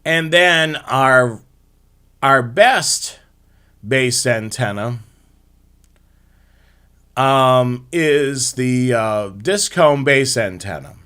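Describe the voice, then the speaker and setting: A man's voice: medium pitch, extremely slow, long pauses, sharp tone, clean tone. A man hosting a live hobby show, explaining things step by step in an informal slideshow presentation.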